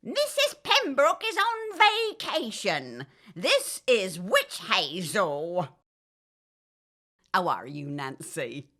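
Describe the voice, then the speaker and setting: A woman's voice: mid-range voice, wildly swooping pitch, takes it slowly, sharp tone, exaggerated voice. An actress playing an arch, theatrical middle-aged mother with grand, witchy airs in a period audio drama. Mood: playfully witchy.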